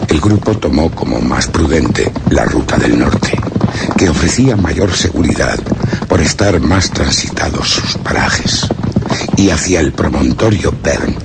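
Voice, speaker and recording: Very deep, gravelly, grave expedition survivor. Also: male voice, expressive, full character voice. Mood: grave, solemn. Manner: measured, formal, ominous. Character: grave male expedition survivor recounting a dreadful journey. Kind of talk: solemn literary horror radio drama narration. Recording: very noisy radio drama recording.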